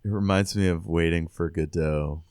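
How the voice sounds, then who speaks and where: A man with a low-pitched voice talks through a book as a podcast co-host. The sound is close and dry, with very little room around him, and the delivery is casual and conversational. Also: steady tone, normal speed, darkish tone, smooth, dry recording. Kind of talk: casual book discussion with chapter recaps.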